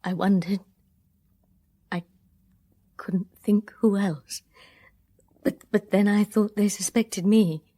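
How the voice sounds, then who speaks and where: An actress playing a bitter, guarded young woman in a radio drama, with an English accent and a hesitant, halting way of confiding. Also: mid-range voice, fairly even intonation, mellow. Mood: guarded, confessing. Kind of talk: full-cast radio drama acting.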